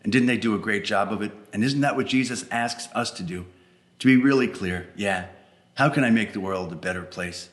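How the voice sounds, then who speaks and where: A man hosting a children's story hour, talking conversationally to young listeners and gently repeating phrases for emphasis. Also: lowish voice, brisk, reverberant. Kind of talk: warm-up introduction addressed to children.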